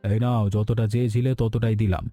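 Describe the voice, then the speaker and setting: A man voicing a calm, businesslike village landlord and moneylender in a cartoon story, measured and authoritative. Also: fairly low pitch, natural voice. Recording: background music throughout.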